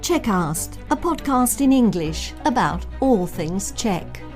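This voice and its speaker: A woman's voice: medium pitch, big pitch swings, takes it slowly. A woman reading a polished scripted promo voice-over for a podcast. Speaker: show announcer. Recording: faint music underneath.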